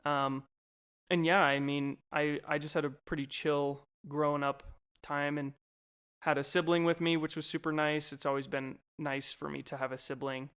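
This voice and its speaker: A man's voice: fairly high pitch, flat delivery, normal speed, fairly bright tone, smooth. Easygoing young man in his twenties. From Colorado, USA. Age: 20s. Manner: rambling, easygoing, lots of fillers. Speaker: podcast co-host. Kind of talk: casual chat.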